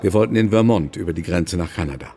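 A man playing an older, haunted novelist who confides in a measured way in a German radio drama. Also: sits low, lively intonation, mellow. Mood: grave, confiding. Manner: measured, confessional storytelling.

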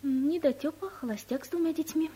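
An actress playing a chatty, breezy young secretary in a radio comedy, gossiping in colloquial speech like a know-all. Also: female voice, high voice, a little fast, dark tone, a little hiss or hum, close to an everyday voice. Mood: gossipy, knowing. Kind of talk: radio comedy play acting.

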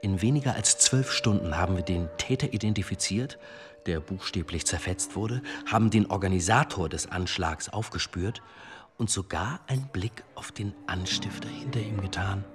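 A man acting in a German radio drama as a dry, self-assured police chief inspector, speaking in a measured, formal, pointed way. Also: sits low, music in the background, lightly acted. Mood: assured, insistent. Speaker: actor in a radio play.

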